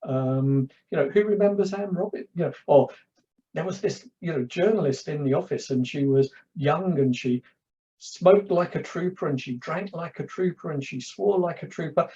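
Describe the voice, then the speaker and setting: A man's voice: a little high, normal speed, mellow, lo-fi sound. A man from London with a London accent, a public speaking coach chatting as a guest and telling anecdotes, often repeating words as he starts. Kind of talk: casual interview chat.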